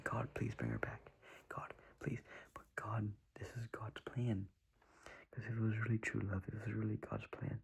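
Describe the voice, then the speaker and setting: A man's voice: on the low side, vocal fry, slightly rough, low-quality recording. A young man giving earnest, rambling advice in a whispery voice, circling back and repeating himself.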